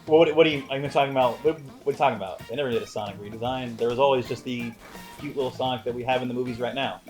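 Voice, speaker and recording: Dude bantering on a movie review, mock serious and over the top, faint music under it. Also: male voice, mid-range voice, fairly even intonation, rapid-fire, a little mellow. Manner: mock-serious, hyperbolic joking. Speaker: co-host of a movie review show. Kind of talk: casual chat with joking banter.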